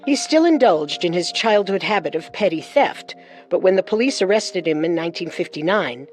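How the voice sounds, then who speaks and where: A woman's voice: on the low side, expressive, normal speed, soft background music. Woman telling true crime with dramatic flair. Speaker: podcast co-host, narrator. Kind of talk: scripted true-crime narration.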